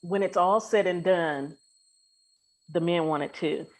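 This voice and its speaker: Woman, slightly low pitch, podcast guest, coach, casual conversation, earnest, colloquial, emphatic. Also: measured pace, poor audio.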